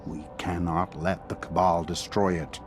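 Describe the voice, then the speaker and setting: An actor playing a resolute, earnest sci-fi advisor, giving a serious mission briefing in a video game. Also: male voice, low-pitched, level tone, a little slow. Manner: serious, expository. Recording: music behind.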